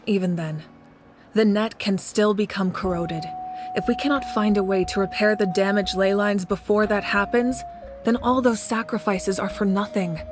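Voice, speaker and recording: A woman playing a composed, resolute female warrior leader in a video game, speaking in a measured, earnest and authoritative way. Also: mid-range voice, natural voice. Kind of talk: dramatic video game voice acting. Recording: game music behind the voice.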